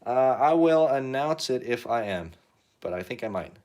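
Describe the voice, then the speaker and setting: A young man chatting casually while painting on a live stream, rambling and self-deprecating, his pitch rising at the ends of phrases. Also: expressive, normal speed, a little mellow. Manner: rambling, self-deprecating, reads chat comments aloud. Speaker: painting livestreamer.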